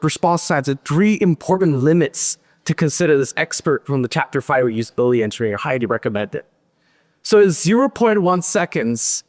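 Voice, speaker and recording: Lively man speaking on a conference stage. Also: on the high side. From Pakistan and Switzerland. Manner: lively, joking with the audience. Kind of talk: tech conference talk. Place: large conference hall stage. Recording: hall reverb.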